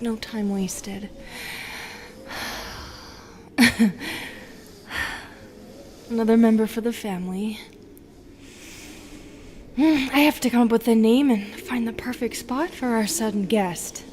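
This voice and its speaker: A woman acts out a bitter, seductive Medusa for an audio roleplay. She speaks slowly in a breathy half-whisper, brooding and lonely, over noticeable background noise. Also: on the high side, darkish tone. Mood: euphoric, possessive. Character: bitter, seductive, lonely female Medusa who turns people to stone. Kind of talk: intimate audio roleplay acting.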